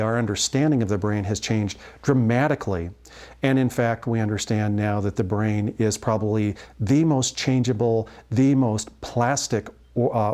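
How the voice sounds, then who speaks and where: A man giving an explanatory health lecture as a physician, speaking in measured phrases with pauses mid-sentence. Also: fairly low pitch, darkish tone. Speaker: family physician and instructor.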